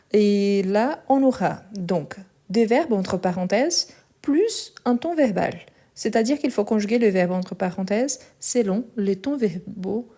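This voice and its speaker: A woman teaches a live online French lesson, talking slowly and with care. Her voice is soft and mellow rather than bright, and she goes back over each phrase for her learners. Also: medium pitch. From France and Brazil. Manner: slow, clear, repeats phrases for learners.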